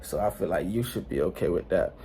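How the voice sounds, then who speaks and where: A man's voice: low voice, lively intonation, brisk, mellow, slight background noise. An eighteen-year-old man talking to camera at home in a slangy, rambling, laid-back way, often checking in with the viewers. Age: late teens. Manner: slangy, rambling, laid-back, frequent "you know what I'm saying". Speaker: vlogger. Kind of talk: unscripted casual vlog talk. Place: indoors at home.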